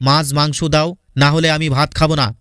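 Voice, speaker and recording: A man's voice: a little high, flat delivery, fast, a bit bright, clean tone, no room sound, clean studio sound, natural voice. A man voicing a demanding, complaining young son in a cartoon, insistent in manner.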